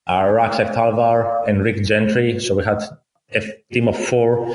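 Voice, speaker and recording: A man in his thirties from Athens with a Greek accent, a guest explaining things in a measured way, point by point. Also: fairly low pitch. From Greece, Athens. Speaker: guest, company founder. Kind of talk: conversational interview. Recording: roomy, echoey room.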